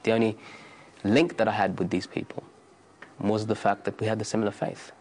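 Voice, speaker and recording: Earnest low-voiced man in his thirties. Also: level tone, fairly quick, darkish tone, slight background noise. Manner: earnest, insistent, restarts phrases. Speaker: interviewee. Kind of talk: documentary interview answers.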